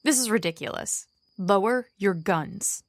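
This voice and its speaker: A dry, closely recorded young woman's voice from an actress playing a distrustful archer in an audio drama. She sits at a middling pitch and talks with sardonic irritation. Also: measured pace, no room sound, lightly acted. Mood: exasperated.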